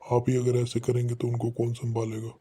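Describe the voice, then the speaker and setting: An actor playing a doctor who calmly and gravely gives a family terrible news. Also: male voice, mid-range voice, lightly acted. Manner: grave, measured, consoling. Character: calm hospital doctor delivering bad news. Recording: faint music behind.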